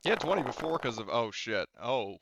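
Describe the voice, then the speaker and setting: A man's voice: mid-range voice, very animated, a little fast, gravelly, noisy background. A man running a tabletop game as game master, talking casually and hesitantly as he thinks aloud.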